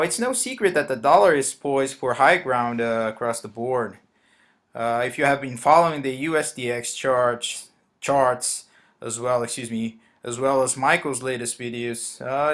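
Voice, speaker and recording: A man with a Brazilian Portuguese accent talks through a chart with many pauses and restarts. His pitch sits in the middle of the male range. He speaks English as a second language, carefully and without polish, as if recording at his desk. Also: a bit bright. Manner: hesitant, many fillers and restarts. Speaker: forex trader. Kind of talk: screen-recorded trading walkthrough.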